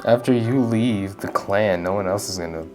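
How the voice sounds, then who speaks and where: A woman reacts to a game with blunt, slangy, sarcastic remarks. Her voice is very deep for a woman. Faint game music runs underneath. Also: moderate pace, warm tone. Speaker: gaming video creator. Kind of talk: casual reaction commentary over gameplay.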